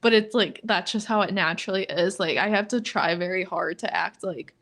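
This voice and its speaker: A young woman with a monotone delivery and a middle-pitched voice, talking casually on a podcast. She fills nearly every phrase with "like" and laughs often between thoughts. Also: fairly quick. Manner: says "like" constantly, flat and low-key, laughs often. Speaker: podcast co-host. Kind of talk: casual chat.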